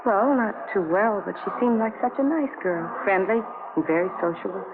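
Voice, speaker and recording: A woman's voice: medium pitch, expressive, moderate pace, close to an everyday voice. An actress playing a talkative, polite and fretful American woman traveler in a radio drama. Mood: chatty. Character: chatty, fretful, kindly female bus traveler. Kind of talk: 1950s radio drama dialogue. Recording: noisy, tinny old radio recording.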